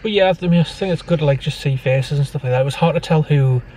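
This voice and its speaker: A man's voice: fairly high pitch, fast talker, darkish tone. A man chatting casually in a vlog, with hesitant, tentative phrasing. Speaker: vlogger's partner. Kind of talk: casual chat. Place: inside a car. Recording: slightly distant, faint background noise.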